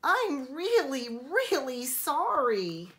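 A woman's voice: very animated, drawn-out words, exaggerated voice. A woman voicing a contrite, pesky little girl from a storybook, with a plaintive way of talking. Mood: tearful pleading. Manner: high-pitched, plaintive apologising.

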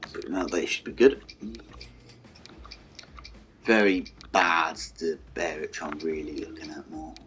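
Croaky low-voiced man. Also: slow, pauses often, a bit bright, a little hiss or hum. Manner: mumbling, talks to the game's vehicles. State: hoarse, croaky voice. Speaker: gaming channel host. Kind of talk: casual gameplay commentary. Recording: game music behind voice.

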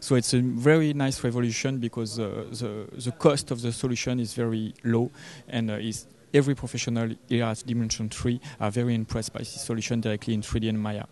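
A man, giving a product demo interview in halting English. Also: medium pitch, steady tone, a little mellow. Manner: halting non-native English. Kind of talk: trade show interview. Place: trade show booth indoors. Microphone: handheld interview microphone.

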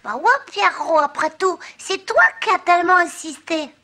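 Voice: very high-pitched, moderate pace, heavy background noise. An actor voicing an eager, anxious little chick boy in a French cartoon dub, childlike and given to plaintive exclaiming. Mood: hesitant. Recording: music behind the voice.

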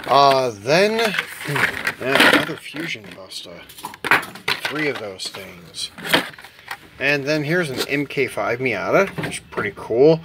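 The American dad you'd hear showing off his collection on a homemade unboxing video, chatting casually at an ordinary male pitch through plenty of background noise. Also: big pitch swings, slow, pauses often. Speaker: toy car collector hosting an unboxing. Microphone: handheld camera or phone mic. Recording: home camera with clear background noise.

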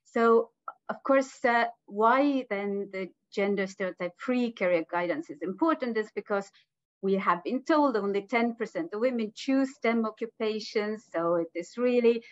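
A woman presents academic research at an online conference. She speaks hesitantly, with many filler sounds, at a middle pitch. Her voice comes through muffled, dull video-call audio. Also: moderate pace. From Estonia. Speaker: sociology professor.

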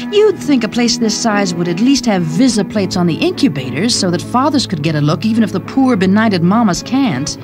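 A woman's voice: mid-range voice, lightly acted. A woman playing a young, affectionate mother who reads out her chatty, wry letters in a dramatized short story. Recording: studio reading over background music. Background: music.

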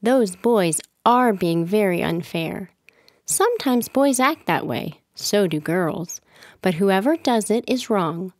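A woman voicing a gentle, sensible mother bear in a storybook, speaking in a measured, earnest way. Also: mid-range voice, animated, dry recording, studio quality. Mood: reasoning, firm. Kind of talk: storybook read-aloud character voice.

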